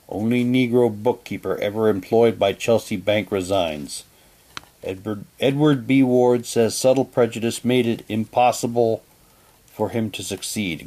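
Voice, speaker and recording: Low-voiced man, casual read-aloud commentary. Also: darkish tone. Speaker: unboxing presenter.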